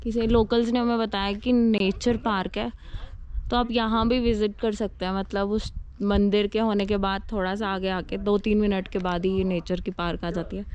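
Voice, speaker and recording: A woman's voice: mid-range voice, level tone, normal speed, a little mellow, lo-fi sound. A young woman chatting casually on a travel vlog, mixing in English words. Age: young adult. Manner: mixes English words into talk. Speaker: travel vlogger. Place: outdoors on trails and in a moving car. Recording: noisy outdoor and in-car action camera.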